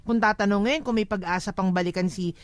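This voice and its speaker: A woman's voice: medium pitch, fast, no echo. Frank Filipino woman giving advice, close dry sound. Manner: frank, emphatic, code-switches into English. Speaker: radio advice show co-host. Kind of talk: conversational relationship advice.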